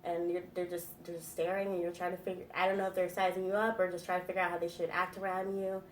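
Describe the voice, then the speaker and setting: A woman's voice: lowish voice, level tone, quick pace. A woman in her twenties answering interview questions in a chatty, thoughtful way with many fillers. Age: 20s. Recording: roomy echo, from a distance, faint noise.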